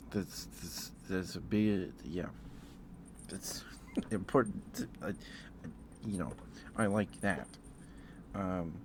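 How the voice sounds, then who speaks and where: A man doing a casual, rambling ASMR livestream, speaking in a hesitant, musing way and repeating himself over clear background noise. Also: deep voice. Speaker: live streamer.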